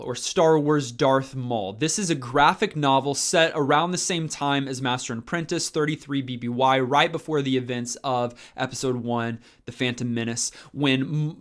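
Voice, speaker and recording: A man's voice: on the high side, a bit bright. A man talking casually and enthusiastically as a fan, hosting a review video. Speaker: book reviewer and channel host.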